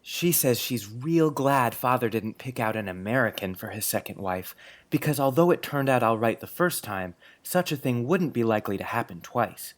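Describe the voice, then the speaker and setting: A man's voice: medium pitch, natural voice. Earnest schoolboy, voiced by a grown man, mid-range for a man, sincere and chatty, storybook drama. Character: earnest, affectionate young schoolboy confiding in his teacher. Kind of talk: dramatised audiobook acting.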